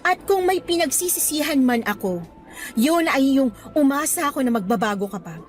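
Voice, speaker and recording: A woman's voice: high voice, lively intonation, moderate pace, faint music behind. An actress playing a firm, exasperated young female teacher in a radio drama, lecturing sternly in Tagalog mixed with English. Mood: disappointed, reproachful.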